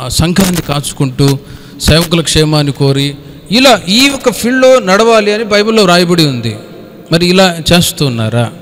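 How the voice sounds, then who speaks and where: Guy pastor teaching bible study, kinda high voice, repeats himself and asks questions, big echoey hall. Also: fairly bright tone. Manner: didactic, repeats phrases and puts questions to listeners. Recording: long hall reverb.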